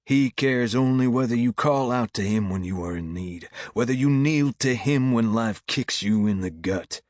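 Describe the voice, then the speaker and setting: A man voicing a fervent, commanding revival preacher in an audiobook, with declamatory, drawn-out oratory. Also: medium pitch, dry recording, clean studio sound, pitched above the performer's own voice, lightly acted. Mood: thundering, insistent. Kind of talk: old-time revival sermon.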